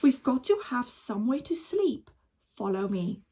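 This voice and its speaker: Determined father figure for a children's tale, read by a woman: firm, slow, emphatic, takes charge. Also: fairly high pitch, big pitch swings, dark tone, husky, full character voice. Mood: bossy, urgent. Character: tiny father, practical, bossy, determined leader.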